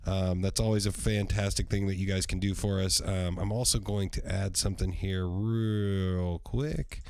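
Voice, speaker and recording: A man with a deep, hoarse voice hosts his own podcast livestream. He talks in a loose, rambling way, swears freely and fills his pauses with ums. The sound is dry and close, with no room around it. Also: fairly even intonation, darkish tone, dry recording. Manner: rambling and profane, with many ums. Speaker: podcast host. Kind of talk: casual solo podcast livestream.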